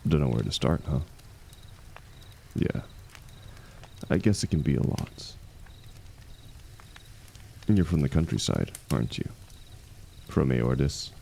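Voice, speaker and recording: A man playing a seasoned ex-mercenary warrior in an intimate fantasy audio drama, gruff but kindly, gently teasing and unhurried in his talk. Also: extremely low pitch, lively intonation, slight background noise, full character voice. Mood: wry, reassuring.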